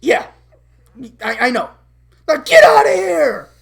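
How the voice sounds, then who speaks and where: A woman's voice: fairly high pitch, measured pace, creaky, voice pushed up. A woman voicing a hot-tempered cartoon boy who blusters and stammers urgently.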